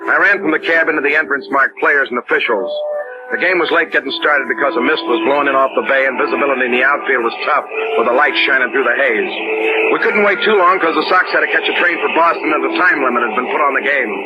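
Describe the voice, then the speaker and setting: A man's voice: fairly high pitch, quick pace, loud music behind the voice, lightly acted. A man playing a weary, short-tempered baseball umpire in an old radio drama that he also narrates. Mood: tense narration. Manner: terse, exasperated. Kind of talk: old-time radio drama acting with first-person narration. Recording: dull, noisy old radio recording.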